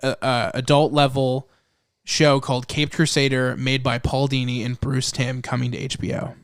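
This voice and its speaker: A man's voice: medium pitch, level tone, moderate pace, clear, resonant tone, dry recording, studio quality. A man hosting a podcast in casual chat, talking in an enthusiastic, opinionated way. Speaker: podcast host.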